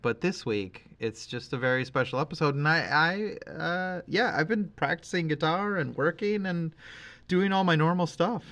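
A man's voice: fairly high pitch, moderate pace, fairly bright tone. A man co-hosting a podcast in a casual, conversational style. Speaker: podcast co-host.

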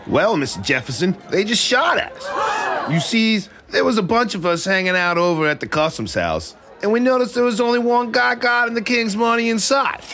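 An actor playing a brash young man from Boston with a Boston accent, telling a wild story excitedly. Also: high voice, normal speed, soft background music, exaggerated voice.

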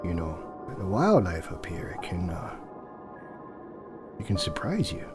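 Man playing folksy, lively Canadian Mountie over music. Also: sits low, a little slow, voice pushed down. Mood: uneasy, cautious. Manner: hesitant, stammering, explanatory. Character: cautious local Canadian Mountie, folksy and earnest. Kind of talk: audio drama acting.

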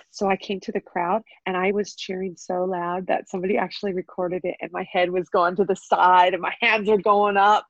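A woman from the United States, a fight coach, talking casually in an interview with a very loud, carrying voice and animated bursts of cheering. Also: medium pitch, no room sound, lo-fi sound. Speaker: Muay Thai coach.

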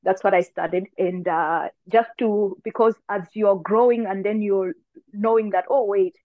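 A woman's voice: mid-range voice, steady tone, moderate pace, no room sound. A young woman from Tanzania, talking casually as an interview guest, with many fillers and restarts. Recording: thin phone-like call audio.

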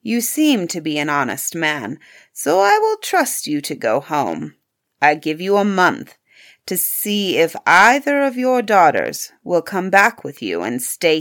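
A woman's voice: on the low side, gravelly, fairly bright tone, heavily acted. A woman voicing a frightful, angry male beast in a storybook, stern and commanding in manner. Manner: stern, menacing, grim. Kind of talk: storybook character voice.

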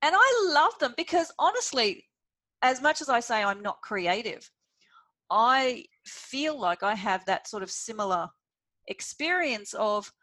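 A woman hosting a casual interview chat, talking in an animated, chatty way with many fillers. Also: on the high side, a little slow, no echo. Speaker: podcast host.

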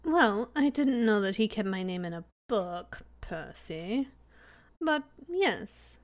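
A woman's voice: mid-range voice, very animated, slow pace. A woman playing a composed country-club widow in a put-on transatlantic lilt, clipped and prim.

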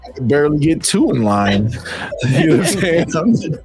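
A man hosting a podcast, asking slow, halting questions and reacting with short remarks. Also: on the high side, sing-song, over music. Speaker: podcast host. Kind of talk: casual interview chat.

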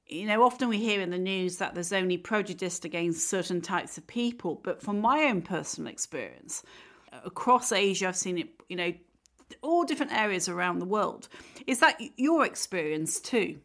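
A woman's voice: mid-range voice, animated, normal speed, a bit bright. Inquisitive woman podcast host. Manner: inquisitive, leading questions. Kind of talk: interview questions.

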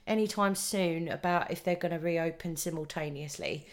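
A woman's voice: sits low, moderate pace. A woman hosting a podcast in a casual, chatty way. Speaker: podcast host.

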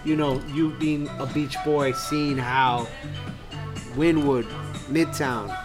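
A man's voice: mid-range voice, fairly even intonation, takes it slowly. A man from New York co-hosting a podcast, upbeat and chiming in with short reactions. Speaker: podcast co-host. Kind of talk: casual podcast chat. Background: music.

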